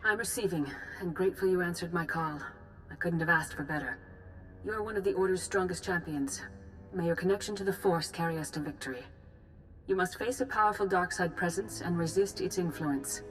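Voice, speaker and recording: A woman's voice: fairly low pitch, fairly quick, a bit bright, faint music behind, natural voice, poor audio. A woman playing a grave Jedi grand master in a video game, formal, composed and authoritative. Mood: grave authority.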